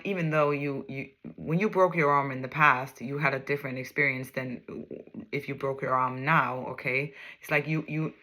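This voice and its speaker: A woman with a Danish accent gives a loose, chatty tarot reading, her voice bright and forward. She wanders through her thoughts, hunts for the right words and keeps checking in with her listeners with a quick "okay?". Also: extremely low pitch, slight background noise. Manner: rambling, searches for words, keeps asking "okay?". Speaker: tarot reader. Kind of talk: casual spoken tarot reading.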